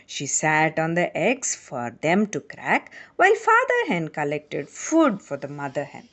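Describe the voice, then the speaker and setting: A woman narrating a children's bedtime story in a deliberate, theatrical manner. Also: deep voice, huge pitch swings, fairly bright tone. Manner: deliberate, theatrical, with acted sound effects.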